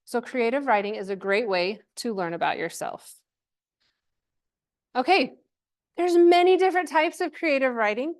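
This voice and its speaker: Female workshop presenter, higher-pitched voice, chatty and encouraging, informal delivery to a live group. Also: normal speed, pauses often. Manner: chatty, encouraging, checks in with questions and "right?".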